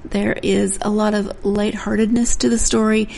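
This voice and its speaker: A woman's voice: medium pitch, flat delivery, moderate pace, vocal fry, warm tone. A woman hosting a book podcast, chatting through an intro and catching her own slips with a laugh. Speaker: podcast host. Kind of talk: solo intro to a book interview.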